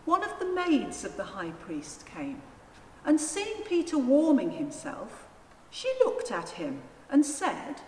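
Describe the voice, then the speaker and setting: A woman narrating a dramatised scripture reading in a solemn, deliberate manner. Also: sits high, wildly swooping pitch, dark tone. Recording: echoey church, long reverb, faint noise.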